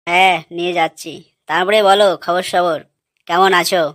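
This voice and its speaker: A woman's voice: fairly low pitch, steady tone, moderate pace, a bit bright, no room sound, clean studio sound, natural voice. A woman playing a blunt, persuasive male friend in a comic cartoon, with coarse, matter-of-fact talk. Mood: friendly.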